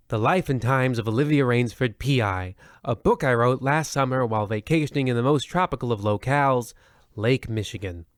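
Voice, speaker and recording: A man's voice: mid-range voice, dry recording, lightly acted. Guy doing a mock-serious old time radio host, full of himself, very dry sound no room. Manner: pompous, mock-dramatic. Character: self-promoting, mock-serious storyteller host of a radio anthology.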